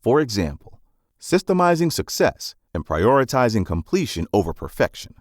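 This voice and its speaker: A man reading scripted nonfiction narration in a measured, instructive manner, as for an audiobook summary. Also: mid-range voice, sing-song, dry recording, clean studio sound.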